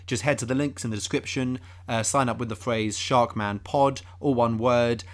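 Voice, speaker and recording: A man playing an earnest, devoted fan podcaster, co-hosting comedy banter with deadpan, mock-serious delivery. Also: mid-range voice, moderate pace, natural voice. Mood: matter-of-fact. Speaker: podcast co-host.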